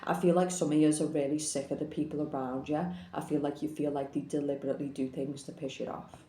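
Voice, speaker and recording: A woman's voice: sits low, little pitch movement, fast, warm tone. Blunt woman reading tarot, echoey room. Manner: blunt, frank, swears casually. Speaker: tarot reader. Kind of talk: casual tarot reading to camera.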